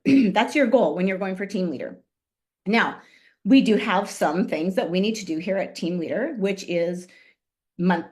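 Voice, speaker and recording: A woman giving an upbeat motivational business training talk on a call, fighting a cough and often clearing her throat. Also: medium pitch. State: coughing, voice strained. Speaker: guest speaker on a business call.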